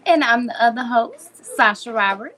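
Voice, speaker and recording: A woman from Minneapolis co-hosting a casual livestream chat from home over a video call, relaxed and easygoing. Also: a little high, moderate pace, a little hiss or hum. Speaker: co-host.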